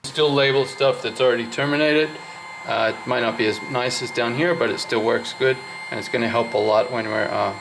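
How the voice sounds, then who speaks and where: A man with a noticeably gravelly, fry-edged voice at an average pitch talks through a hands-on job. He sounds informal and unscripted, thinking aloud as he explains each step. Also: steady tone, a little fast, noisy background. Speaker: host of a hands-on wiring walkthrough. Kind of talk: casual workshop explanation while working.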